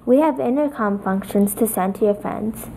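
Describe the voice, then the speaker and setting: A woman presenting a software tutorial, reading from a script as narration. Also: mid-range voice, moderate pace, creaky, a little hiss or hum, low-quality recording.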